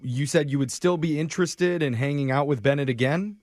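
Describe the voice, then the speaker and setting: A man's voice: on the high side, a little fast, darkish tone, no echo, clean studio sound. A man hosting a radio show, narrating the setup of a segment and bantering with co-hosts. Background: music bed.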